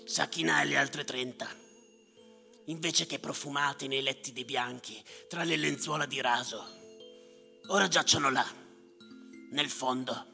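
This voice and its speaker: An actor playing a boastful, bitter island general in a theatrical stage monologue, with a harsh, declamatory delivery. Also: male voice, medium pitch, bright, forceful tone, full character voice. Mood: grim, mournful. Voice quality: harsh, gravelly voice. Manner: declamatory, commanding, emphatic, sardonic. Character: boastful, bitter, self-appointed island general herding dead spirits. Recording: echoing theater hall with live music.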